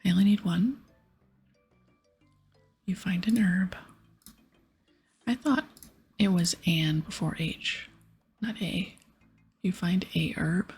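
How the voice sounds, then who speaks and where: A woman speaking in a soft, breathy whisper close to the mic, giving gentle, casual ASMR gameplay commentary. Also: fairly low pitch, slow, pauses often, faint music behind. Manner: gentle, hushed, casual rambling. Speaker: ASMR gaming video creator. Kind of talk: ASMR whispered gameplay commentary with quest dialogue read aloud.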